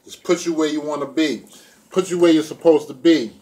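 A middle-aged man with a slightly high voice chats casually to a camera propped nearby in a room at home. He is laid-back and playful, speaking in loose everyday slang as a food vlogger. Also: fairly even intonation, brisk, a little mellow. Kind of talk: casual chat to camera while eating. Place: small room indoors at home. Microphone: phone or camera propped within arm's reach.

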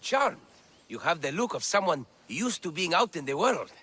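Eager, animated bookish scholar. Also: male voice, a little high, moderate pace, breathy edge, heavily acted. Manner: theatrical, florid, enthusiastic wording. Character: eager, bookish male scholar of antiquities in ancient Greece. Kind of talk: video game character dialogue.

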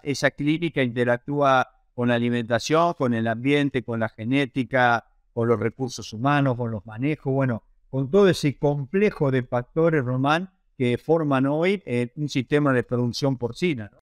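A man's voice: medium pitch, clear, resonant tone, no echo, studio quality. An older man with an Argentine accent, explaining his work as an interview guest in a conversational way. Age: late 50s to 60s. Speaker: interview guest, pig production researcher and lecturer. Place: small indoor office on a video call. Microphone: wired earphone headset.

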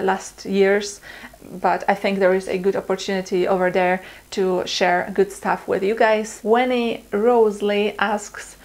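Polish-accented woman, 20s, casual YouTube Q&A host, chatty, filler-heavy. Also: medium pitch. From Poland. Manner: chatty, laughs often, many ums and uhs. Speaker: vlogger. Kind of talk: casual Q&A vlog. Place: living room indoors.

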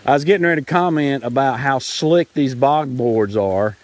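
A man narrating a hike casually in a rambling, wry, halting way, recorded outdoors on a handheld camera. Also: medium pitch. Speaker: hiking vlogger. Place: outdoors on a forest trail in rain.

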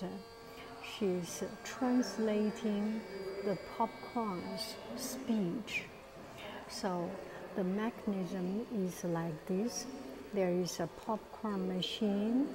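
Slow, hushed woman touring a museum. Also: mid-range voice, noisy background. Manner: critical, hesitant, whispering at times. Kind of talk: casual spoken commentary. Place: indoor art museum gallery. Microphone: earphone mic, phone camera held at arm's length.

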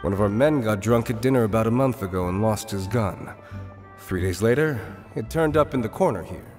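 An actor playing a shrewd, guarded bartender in a video game, dry and wary in manner. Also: male voice, lowish voice, animated, fairly quick, music in the background, close to an everyday voice. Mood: wry, shrewd. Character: guarded, shrewd underworld bartender, adult man. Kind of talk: video game dialogue acting.

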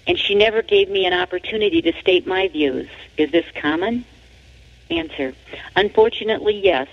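A woman with an American accent reads scripted narration from her own book in a formal, measured manner. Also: lowish voice. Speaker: author reading own book. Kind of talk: scripted narration, read aloud. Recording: dull lo-fi radio-like audio.

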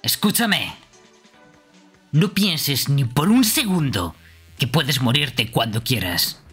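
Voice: medium pitch, big pitch swings, slow pace, a bit bright, slightly rough, faint music behind, full character voice. A performer voicing a brash, foul-mouthed young male killer in a horror game, blunt and aggressive. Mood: threatening.

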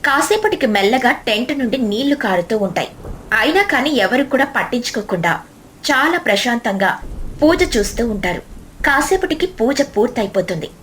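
A woman's voice: on the high side, very animated, brisk, fairly bright tone, slight background noise. A woman storyteller reading a scripted children's story narration. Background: music.

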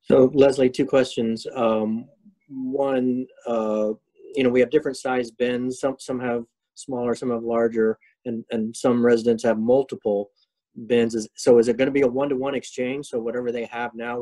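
A man with a dark, mellow voice at an average pitch asks questions as a council member. He speaks in a remote meeting held over a call. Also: low-quality recording. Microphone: phone or video call.

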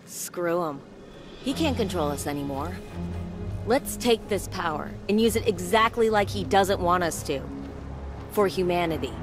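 A woman's voice: fairly low pitch, expressive, unhurried, music in the background. An actress playing a plucky, loyal woman adventurer in a video game, with a wry, sincere and direct manner. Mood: defiant. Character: plucky, loyal younger sister, defiant human adventurer. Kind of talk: dramatic video game acting.

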